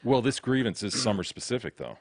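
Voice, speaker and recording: A man's voice: mid-range voice, big pitch swings, a little fast, gravelly, no echo. A male podcast co-host who teases and jokes drily, and also reads sponsor copy. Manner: dry joking, teasing. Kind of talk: casual chat and host-read ad.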